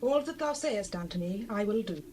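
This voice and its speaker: An actress playing a humble, obedient young widow in a dramatized Bible reading, meek and earnest. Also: female voice, mid-range voice, lively intonation, moderate pace, a little mellow, slight background noise, close to an everyday voice.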